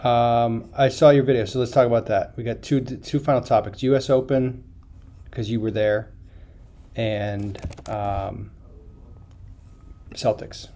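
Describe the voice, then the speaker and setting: A man's voice: medium pitch, fairly even intonation, a little slow, long pauses, dark tone. A man co-hosting a casual sports podcast, laid-back and hesitant with fillers. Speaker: podcast co-host.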